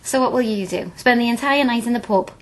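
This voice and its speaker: An actress playing an eager, cheeky young working-class Liverpool hairdresser and student in a radio drama, chatty and blunt. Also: female voice, on the high side, fairly quick, close to an everyday voice. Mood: coaxing.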